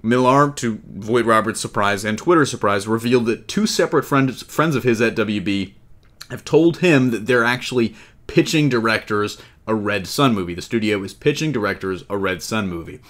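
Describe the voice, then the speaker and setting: A man's voice: on the low side, lively intonation, normal speed, fairly bright tone. A man hosting a casual entertainment news discussion, talking in a conversational, joking way. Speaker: podcast host.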